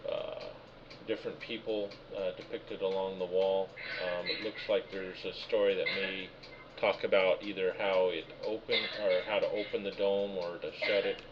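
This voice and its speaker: A man running a tabletop game, reading out room descriptions and answering players patiently. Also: sits low. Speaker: game master. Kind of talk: narrating a tabletop game session. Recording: muffled voice call with background noise.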